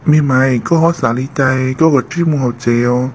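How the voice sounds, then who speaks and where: A man voicing a male character in an amateur animated cartoon drama. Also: mid-range voice, normal speed, slight background noise, lightly acted. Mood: conversational. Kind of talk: hobby animated cartoon dialogue.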